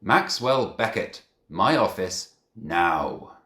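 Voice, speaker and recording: Bloke doing strict lady headteacher in a kids book, slow telling-off, lively pitch, echoey. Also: exaggerated voice. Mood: stern, demanding. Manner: slow, deliberate, scolding. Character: stern, commanding woman head teacher. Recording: echoey room.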